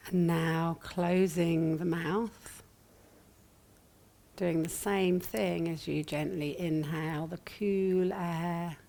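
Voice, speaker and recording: A woman with a low, mellow voice guides a meditation. She speaks softly and gently, sometimes breathy, leaving long pauses between short phrases. There is a light creak in her tone that settles into the unhurried teaching. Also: fairly even intonation. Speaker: yoga nidra meditation teacher.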